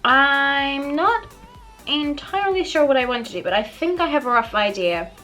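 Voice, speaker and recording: A young woman with a slightly croaky voice after the flu, chatting casually through a makeup tutorial and often correcting herself. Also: sits high, expressive, normal speed, pushed and bright, faint music behind. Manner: chatty, self-correcting, giggly asides. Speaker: beauty vlogger.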